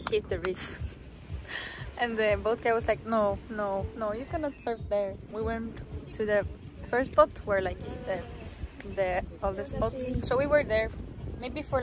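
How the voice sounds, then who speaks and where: A woman's voice: fairly high pitch, noisy background. A young woman in her twenties chatting casually for a travel vlog, giggly and full of fillers, filmed outdoors on a handheld camera. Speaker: vlogger. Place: outdoors on a beach and dirt road.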